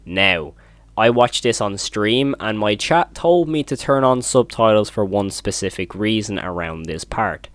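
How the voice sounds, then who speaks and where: Man, low-pitched voice, casual horror commentary, informal, emphatic delivery. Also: animated, unhurried, no room sound. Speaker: host of a horror video commentary channel. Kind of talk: casual reaction commentary and retelling.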